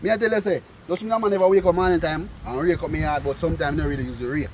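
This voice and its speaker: High-pitched Jamaican man telling stories outdoors. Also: very fast. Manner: animated, argumentative, repeats phrases for emphasis. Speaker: storyteller among friends. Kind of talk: casual banter and storytelling. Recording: noisy outdoor recording with street sounds.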